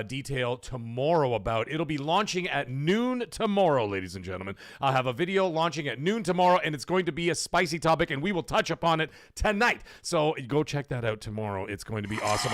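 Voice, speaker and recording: A man hosting a casual livestream, loudly thanking chat supporters between serious talk. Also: on the high side. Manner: hyped, shouts out chat supporters. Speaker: livestream host.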